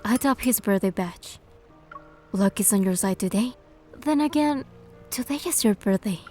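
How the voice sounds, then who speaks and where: An actress playing a curious young woman who narrates her date in a game, gentle, measured and playful. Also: mid-range voice, lively intonation, soft background music, close to an everyday voice. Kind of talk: scripted first-person game narration and dialogue.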